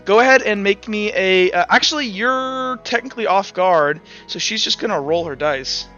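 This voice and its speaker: A man's voice: high voice, moderate pace, bright, forceful tone, highs cut off, soft background music. A man narrating as game master for a tabletop roleplay, rambling with many fillers.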